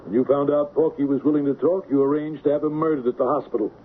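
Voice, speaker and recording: An actor playing a middle-aged, driven police lieutenant with a firm, pointed manner in old-time radio drama. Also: male voice, fairly high pitch, fairly even intonation, fast, natural voice. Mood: accusing, determined. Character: dogged veteran male police lieutenant, righteous, driven. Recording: tinny, band-limited, noisy old radio recording.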